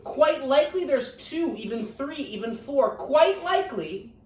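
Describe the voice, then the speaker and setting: A woman voicing a kindly, earnest male elephant in a children's storybook, gentle and protective, with emphatic phrasing. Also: sits high, expressive, measured pace, voice pushed up. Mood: pleading. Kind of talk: children's picture-book read-aloud. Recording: roomy echo, distant microphone.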